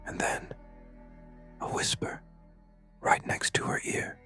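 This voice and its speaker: A narrator telling a scary story in a breathy, hushed whisper, with dramatic short suspenseful phrases. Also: takes it slowly. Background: music.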